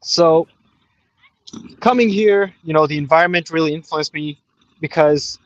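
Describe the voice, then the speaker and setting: A man's voice: on the high side, unhurried, no echo, lo-fi sound. A man in his twenties from Pakistan, later Canada, a native Urdu speaker, calling from outdoors and telling his story casually with slangy informality. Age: 20s. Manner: informal, with frequent "you know" and slang. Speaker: caller.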